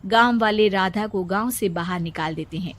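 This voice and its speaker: A woman's voice: mid-range voice, normal speed, background noise. A woman reading scripted narration for a moral story as the storyteller.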